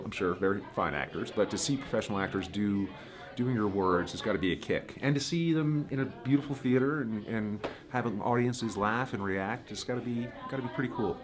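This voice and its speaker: Lowish-voiced, folksy man. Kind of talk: informal interview talk.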